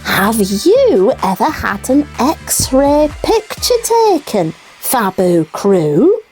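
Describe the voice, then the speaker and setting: A woman's voice: fairly high pitch, big pitch swings, extremely slow, darkish tone, faint music behind, full character voice. A woman voicing a cheeky, playful puppet sidekick who co-hosts a children's show. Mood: curious explaining. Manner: teasing, playful. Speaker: co-host. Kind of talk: scripted children's comedy presenting.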